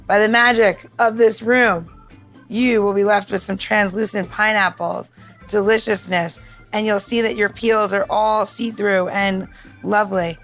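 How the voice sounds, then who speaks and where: A woman's voice: mid-range voice, fairly even intonation, a little slow, a bit bright, soft background music. A woman co-hosting a podcast, talking in a casual, instructional way with an informal, emphatic and jokey manner. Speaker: podcast co-host demonstrating drink recipes.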